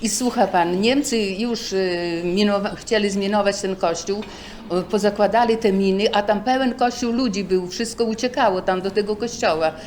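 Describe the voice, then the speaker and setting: A woman's voice: medium pitch, steady tone, normal speed, a little mellow, a little hiss or hum. A woman, telling a personal story in a rambling, colloquial, vivid way. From Poland, Podlasie countryside. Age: late 70s. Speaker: senior sharing memories.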